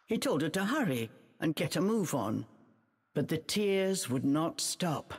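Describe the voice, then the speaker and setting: A woman playing a storybook narrator in a video game, measured and dramatic. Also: sits low, very animated, slightly rough, big-room sound, full character voice.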